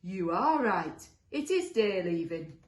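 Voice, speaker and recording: A woman's voice: on the low side, expressive, takes it slowly. A woman voicing a scornful male storybook monster, blunt and emphatic. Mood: amazed, agreeable. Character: second monster, male, gruff, scornful, insulting. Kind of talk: children's storybook character voice. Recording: roomy, echoey sound.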